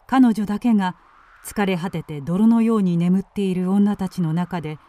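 A woman's voice: mid-range voice, normal speed, warm tone. A woman reading scripted narration for a radio drama.

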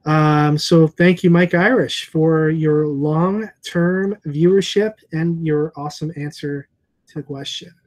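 Comic shop owner, forties, easygoing call host, earphone mic, lots of "uh"s. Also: male voice, on the high side, slow. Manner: relaxed, chatty, many fillers. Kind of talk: casual video call chat. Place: room with comic books on shelves. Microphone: earphone headset on video call.

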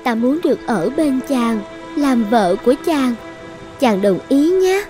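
Cartoon dragon princess , played by a woman: high voice, lovesick, controlling, coaxing yet threatening, music underneath. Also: lively intonation, measured pace, mellow. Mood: coaxing, lovestruck. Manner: commanding, coaxing, menacing. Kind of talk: dubbed cartoon fairy-tale acting.

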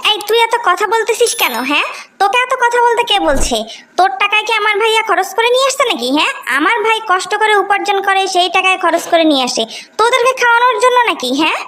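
A woman's voice: very high-pitched, animated, fast, bright, forceful tone, heavily acted. A woman voicing a brash, spiteful young sister in a cartoon story, scolding haughtily with a Bangladeshi accent. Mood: scornful, angry. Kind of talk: amateur cartoon story dubbing.

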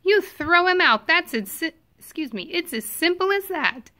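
A woman reading a children's picture book aloud expressively, with animated emphasis. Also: very high-pitched, moderate pace.

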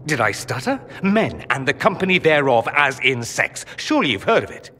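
A man's voice: mid-range voice, big pitch swings, normal speed, sharp tone, a little hiss or hum. An actor playing a proud, wounded nobleman mage in a video game, articulate and sarcastic in a theatrical way. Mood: defiant, sardonic. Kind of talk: dramatic video game acting. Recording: long reverb, music behind.

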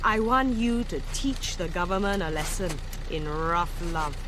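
An actress playing a proud, fervent revolutionary leader in a video game, speaking deliberately over music. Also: female voice, lowish voice, lively intonation, slight background noise. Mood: fervent, commanding. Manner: deliberate, rhetorical. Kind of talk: video game cutscene acting. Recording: loud music behind.

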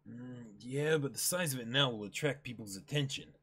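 Young man, medium-pitched casual voice. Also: moderate pace, a bit bright, husky. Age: young adult. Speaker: co-host, reader. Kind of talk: casual chat and read-aloud.

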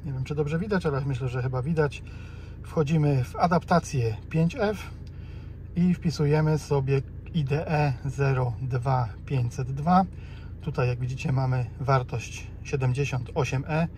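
Polish male DIY host, medium-pitched, casual and explanatory, talking through steps inside a car with clear vehicle noise. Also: a little slow, warm tone. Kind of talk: casual step-by-step tutorial narration.

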